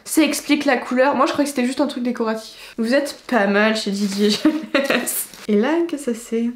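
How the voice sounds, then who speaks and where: A young woman chatting casually to camera as a book vlogger, playful and expressive, with a slight head cold. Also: fairly high pitch, normal speed. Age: young adult. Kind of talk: casual unboxing chat to camera. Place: small indoor room with bookshelves. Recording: roomy echo, faint background noise.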